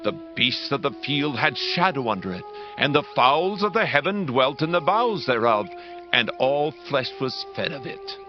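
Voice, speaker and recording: A man's voice: mid-range voice, big pitch swings, moderate pace, lightly acted. An actor playing a proud, awestruck king of ancient Babylon, declaiming solemnly in a dramatized scripture reading. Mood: grave, troubled. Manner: grand, declamatory, solemn proclaiming. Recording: dull band-limited audio under loud music. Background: loud dramatic music.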